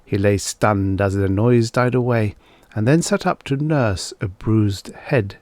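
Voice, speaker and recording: A man reading a story aloud as an audiobook narrator, in a measured, deliberate manner. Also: on the low side, a little mellow, no echo.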